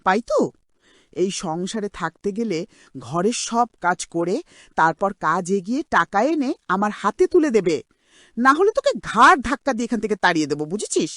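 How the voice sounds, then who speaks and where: A woman's voice: medium pitch, very animated, brisk, fairly bright tone, dry recording, clean studio sound. Woman playing a harsh, swooping, scolding cartoon aunt. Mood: threatening. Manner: harsh, sarcastic, nagging. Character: cruel, scolding aunt bullying her orphaned niece. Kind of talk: cartoon drama voice acting.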